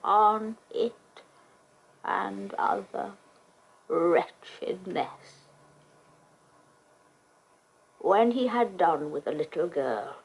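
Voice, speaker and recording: An actress playing a mournful, brooding old Irish wife in a radio play, with halting, drawn-out phrases and long pauses. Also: female voice, low-pitched, creaky, slightly rough, full character voice. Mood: haunted, brooding. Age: older. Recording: noisy old cassette of radio broadcast.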